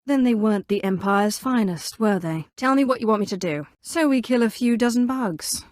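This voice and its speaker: A woman's voice: on the high side, animated, normal speed, no echo, close to an everyday voice. An actress voicing an imperious, coolly menacing female Sith in a video game, with a clipped, commanding delivery and dry sarcasm. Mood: dry, detached. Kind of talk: scripted game dialogue, standalone voice lines.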